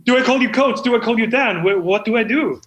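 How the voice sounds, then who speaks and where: A man's voice: very high-pitched, fast talker, a bit bright. A man playing a deluded, eager amateur screenwriter who hosts a comedy show in an effusive, self-important manner. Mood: effusive, polite. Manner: effusive, self-important, stumbling when off script. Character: deluded, eager amateur screenwriter hosting his own reading. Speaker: host. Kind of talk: comedic monologue and mock talk-show hosting. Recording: roomy echo.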